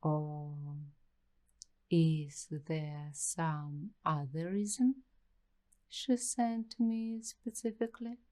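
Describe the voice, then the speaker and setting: A woman playing a nervous, curious young waitress in an ASMR roleplay, speaking softly and breathily in short, halting phrases. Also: sits low, steady tone. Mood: suspicious, curious. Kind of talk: ASMR roleplay, slow scripted monologue.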